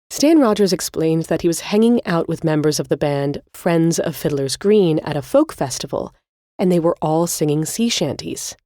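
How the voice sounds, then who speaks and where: Low-voiced woman narrating, dry close sound. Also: dark tone, dry recording. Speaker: podcast host. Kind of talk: scripted music-history narration.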